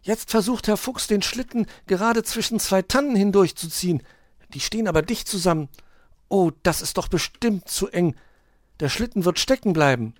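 A man's voice: high voice, animated, normal speed, dry recording, clean studio sound, pitched above the performer's own voice. A man voicing an eager, earnest little animal boy in a children's story. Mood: excited.

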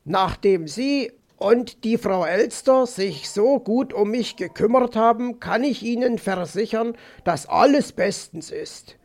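A man voices a courteous storybook fox, male, gentle and a little dazed. The delivery is polite and unhurried, in a high, creaky voice. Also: lively intonation, heavily acted. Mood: politely grateful. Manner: polite, slow, friendly.

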